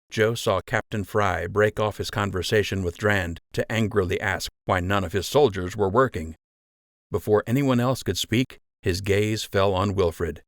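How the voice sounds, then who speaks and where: Low-voiced man narrating an adventure audiobook. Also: dry recording, clean studio sound. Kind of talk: scripted narration of a children's adventure story.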